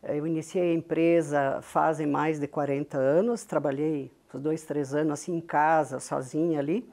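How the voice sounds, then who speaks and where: A woman's voice: sits low, steady tone, normal speed, gravelly. Older woman with a heavy Italian-Venetian-tinged southern Brazilian accent. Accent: Italian-Venetian-influenced Brazilian Portuguese from Rio Grande do Sul. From southern Brazil (Rio Grande do Sul). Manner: warm storytelling, frequent tag questions. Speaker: guest, business founder. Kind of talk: interview.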